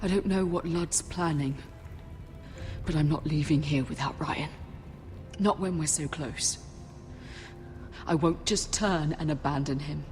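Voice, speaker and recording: A woman's voice: sits low, unhurried, long pauses. An actress playing an anxious but defiant noble mother in a fantasy video game, earnest and determined. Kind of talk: dramatic video game acting. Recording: clear music under the voice. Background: background music.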